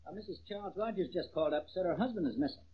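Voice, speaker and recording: A man's voice: sits high, animated, very fast. A man playing an earnest, dogged junior policeman reporting to his boss, in old radio drama style. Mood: earnest, hurried. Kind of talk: vintage American radio detective drama acting. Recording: noisy, dull old-time radio recording.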